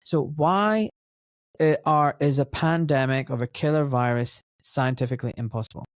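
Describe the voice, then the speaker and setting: A man's voice: mid-range voice, moderate pace, no room sound, studio quality. Probing male podcast host. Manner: probing, rattles off lists in questions. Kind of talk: podcast interview.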